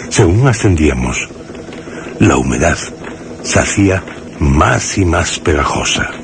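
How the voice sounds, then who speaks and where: A man's voice: extremely low pitch, vocal fry, noisy background, full character voice. An actor playing a horrified man who narrates his own terror in a grave, theatrical radio drama style. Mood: grave, uneasy. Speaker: first-person narrator.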